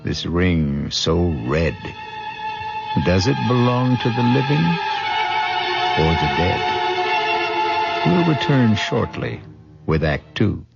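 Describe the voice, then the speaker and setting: A sinister old-time radio host, theatrical and ominous. He speaks slowly in a creaky voice, on a dull recording with music swelling loudly behind. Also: deep voice, sing-song, exaggerated voice. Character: sinister male host of a mystery show. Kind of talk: theatrical vintage radio narration. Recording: dull vintage radio, loud music bed. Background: loud music.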